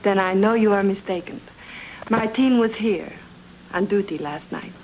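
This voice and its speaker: An actress playing a composed, firm, well-to-do married woman in a noir radio drama, speaking in a measured, formal way. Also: medium pitch, a little mellow, slight background noise, natural voice, rough recording. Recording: old-time radio drama, music underneath.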